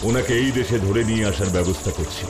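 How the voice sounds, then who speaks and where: A man voicing a strong, determined detective hero in an animated cartoon. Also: lowish voice, fast talker, over music, close to an everyday voice. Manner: firm, determined. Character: strong heroic detective, adult man, resolute.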